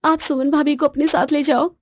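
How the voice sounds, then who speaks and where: A woman plays a boastful, sharp-tongued young wife in a storybook tale, her voice pitched high above the narration. She sounds taunting and frazzled by turns, and the recording is close and dry. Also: level tone, normal speed, dry recording, close to an everyday voice. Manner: taunting, then shrill and frazzled.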